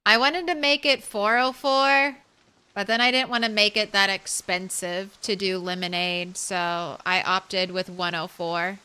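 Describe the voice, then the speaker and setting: A woman streamer chatting softly and casually with her viewers while playing a game, thanking them as she goes. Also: medium pitch, a little slow, clear, resonant tone. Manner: soft, relaxed, thanks viewers. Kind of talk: casual live-stream chat while gaming.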